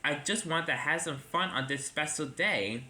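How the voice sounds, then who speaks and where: A man voicing a playful male toy engine who talks in a lower, sheepish, laid-back way. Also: normal speed, pushed and bright.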